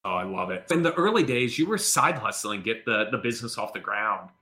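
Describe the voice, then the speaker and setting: A man's voice: on the low side, animated, brisk, bright, forceful tone. A man hosting a business podcast, reading an upbeat intro and then asking friendly questions. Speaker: podcast host.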